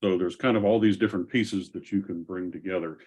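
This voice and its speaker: A man hosting an online technical discussion, explaining things casually in a gravelly, husky voice. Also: low-pitched, fairly even intonation, a little fast, a little mellow. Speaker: host of a software community call. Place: online group call.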